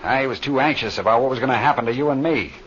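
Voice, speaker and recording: A man's voice: medium pitch, quick pace, a bit bright, natural voice. A man playing a seasoned, plain-spoken flight leader in an old radio drama, on a muffled, hissy recording. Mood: reassuring. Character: seasoned male flight leader, steady, folksy mentor.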